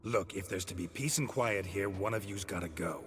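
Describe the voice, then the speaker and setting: An actor playing a gruff, curt monster hunter who speaks dryly. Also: male voice, sits low, moderate pace, fairly bright tone, a little hiss or hum. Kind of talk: video game voice acting.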